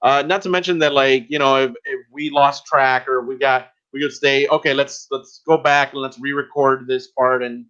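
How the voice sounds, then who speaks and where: A man's voice: medium pitch, steady tone, moderate pace, few pauses, a bit bright. A man co-hosting a casual podcast, explaining things in a conversational way. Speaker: podcast co-host. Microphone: inexpensive plug-in external mic on a laptop. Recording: dull, lo-fi computer audio.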